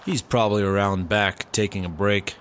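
An uptight, practical worrier from a comedy radio play, played by a man. His voice sits fairly low and sounds wary and exasperated. Faint noise sits behind the dialogue. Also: steady tone, moderate pace, fairly bright tone, lightly acted. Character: uptight, anxious, practical male partner prone to paranoia. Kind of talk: sci-fi comedy audio drama acting.

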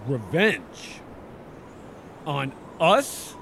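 Guy acting as earnest scientist in sci-fi audio drama, dramatic n lively, noisy behind him. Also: medium pitch, drawn-out words, creaky, heavily acted. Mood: indignant realization. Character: earnest, astonished scientist on a perilous expedition. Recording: noisy background hum.

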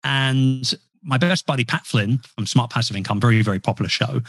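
A man's voice: medium pitch, fairly quick, slightly rough, no room sound. A man chatting as a podcast guest, rambling and self-deprecating with frequent restarts. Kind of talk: casual interview talk. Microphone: podcast mic.

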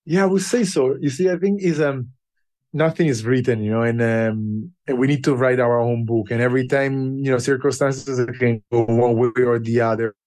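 A man who learned English later in life, a podcast guest telling his story in an unhurried, reflective way with pauses. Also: medium pitch, a little mellow, no room sound. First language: not English. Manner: unhurried and thoughtful, with pauses and fillers.

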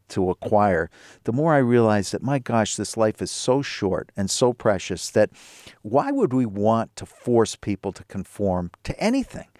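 A man's voice: mid-range voice, a little mellow, no room sound, studio quality. A man in his fifties hosting an interview, talking in an earnest, reflective way with many restarts. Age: 50s. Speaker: podcast host. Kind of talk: conversational interview.

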